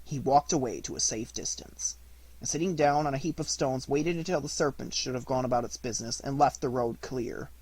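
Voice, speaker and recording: A man reading a storybook as scripted audiobook narration, in deliberate, measured phrases. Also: mid-range voice, a little mellow, breathy edge, rough recording. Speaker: audiobook reader.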